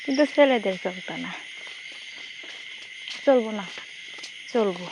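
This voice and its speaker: A woman's voice: mid-range voice, a little slow. A woman with a Bangladeshi accent chatting casually and intimately on the phone in short colloquial fragments. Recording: noisy phone call recording, insect-like hiss.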